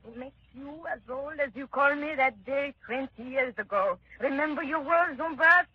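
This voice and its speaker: A woman's voice: high voice, normal speed, natural voice. An actress playing a vengeful, scheming woman who taunts her victim, in 1930s radio drama style, on a dull old broadcast. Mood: gloating. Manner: taunting, theatrical.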